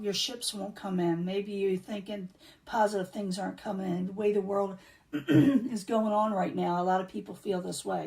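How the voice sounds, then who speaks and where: A woman reading tarot cards in a casual, chatty way, losing her voice and clearing her throat now and then. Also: medium pitch, darkish tone, far from the mic. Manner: chatty, repeats herself, many um fillers. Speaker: tarot reader.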